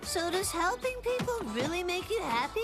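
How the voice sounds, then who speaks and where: A woman's voice: extremely high pitch, sing-song, normal speed, over music, heavily acted. A woman voicing a cocky, naive little cartoon boy who sounds earnest and self-assured. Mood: innocent. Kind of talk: cartoon voice acting.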